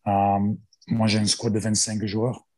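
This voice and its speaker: Husky Australian man, hesitant speech. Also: fairly low pitch, monotone, unhurried, a little mellow. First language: English. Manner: hesitant, many restarts and fillers. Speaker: strength and conditioning coach. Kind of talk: interview guest.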